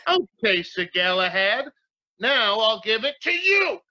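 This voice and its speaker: High-voiced menacing tough guy acted by a man. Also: very animated, a little slow, bright, forceful tone, dull audio, exaggerated voice. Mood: menacing sneer. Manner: sarcastic, threatening. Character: menacing male tough guy, sneering and bullying. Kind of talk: old time radio drama acting.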